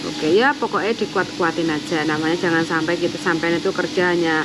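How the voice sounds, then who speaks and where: A woman's voice: lowish voice, fairly even intonation, a little fast, background noise. A woman, hosting a casual call and giving encouraging, motherly advice to a migrant worker. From Indonesia. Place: home, Hong Kong.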